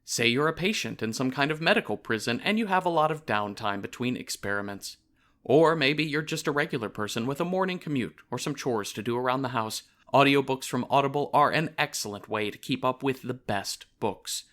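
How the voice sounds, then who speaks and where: A man's voice: medium pitch, animated, fairly quick, a bit bright. A man hosting a podcast, reading a scripted sponsor message in a casual, wry, conversational way.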